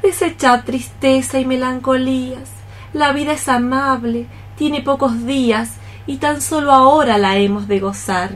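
A woman's voice: high-pitched, unhurried. A woman playing a very old, lame, practical cockroach neighbour in radio theatre, exclaiming theatrically in rhymed verse. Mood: brisk, coaxing.

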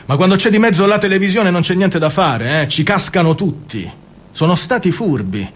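A man's voice: high voice, expressive, normal speed. A man playing an earnest, polite young campaigner. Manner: polite, earnest, formal with elders. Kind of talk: radio play acting.

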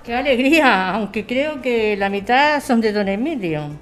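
A woman playing a brisk, caring grown-up daughter who runs a bar, in a naturalistic audio drama. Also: a little high, lively intonation, soft background music. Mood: cheerful.

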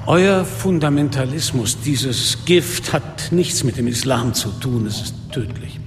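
Gravelly, world-weary police inspector. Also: male voice, medium pitch, expressive, a little slow. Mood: stern accusation. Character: world-weary, bitter police inspector and first-person narrator. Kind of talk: radio drama narration and dialogue. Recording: long reverb, clear music behind.

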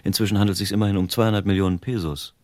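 A man plays a private detective in a radio drama, very low in voice and dryly recorded. He is world-weary and wry, laying things out in blunt, emphatic everyday language. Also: fairly even intonation, racing, darkish tone, no echo, studio quality, natural voice. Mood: insistent, explaining. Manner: emphatic, explaining, colloquial. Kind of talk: German radio drama acting.

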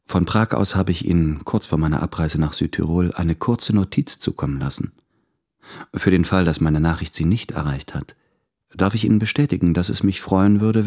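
A man's voice: a bass voice, a little mellow. A man playing a courteous, self-doubting, tender writer, reading his letters in a formal, hesitant, introspective way for a radio play.

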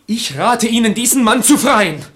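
Young suitor, haughty, sarcastic, easily offended, indignant, classic verse radio play. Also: male voice, extremely high pitch, animated, normal speed, a bit bright. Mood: mock approval, bitter. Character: proud, touchy young gentleman suitor, wounded and sarcastic.

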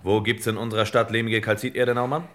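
An actor playing a shrewd, dry detective boss with clipped, swaggering deadpan delivery in an old crime radio play. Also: male voice, on the low side, fast, close to an everyday voice. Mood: dry, knowing. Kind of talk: old German radio crime parody acting. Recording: noisy vintage radio play recording.

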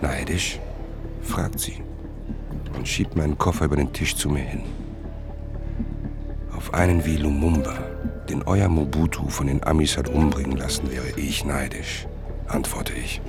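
A man playing a wry, brooding traveller who narrates a radio drama in a measured, terse, gravelly voice. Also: a bass voice, steady tone, heavily acted. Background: music.

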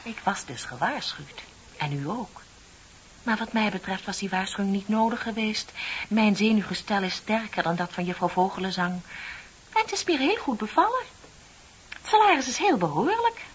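A composed, bitter woman clerk in her thirties, played by an actress in an old radio play. She speaks deliberately and theatrically, each point meant to needle, at a middling pitch. The recording is dull, with clear hiss. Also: lively intonation, natural voice. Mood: smugly composed. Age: middle-aged.

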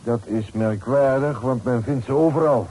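A noisy old radio play recording of an actor playing a haughty gentleman. His voice is mellow and mid-pitched, and he speaks in formal, measured phrases with cold defiance. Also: natural voice. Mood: cold, defiant. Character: dignified, guarded father renting rooms, coldly defiant. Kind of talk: Dutch period radio drama acting.

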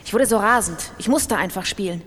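A woman's voice: medium pitch, a little fast, a bit bright, breathy edge, in a large hall. A woman playing an obsessive gambler in a confessional radio-drama monologue. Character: obsessive female gambler confessing her addiction.

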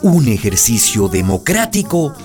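Gossipy barrio storyteller: wry, colloquial radio drama narration, lively intonation over music. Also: male voice, medium pitch, unhurried. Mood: wry, sincere.

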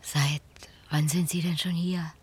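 A woman's voice: sits low, steady tone, a little slow, husky, heavily acted. An actress playing a familiar, nosy woman in a radio play. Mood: brisk curiosity. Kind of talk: German radio play acting.

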